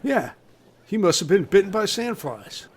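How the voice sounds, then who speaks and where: An easily baffled, emphatic castaway played by a man in a comedy audio drama. His voice is a little high and very animated, with faint background noise behind it. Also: normal speed. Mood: insistent.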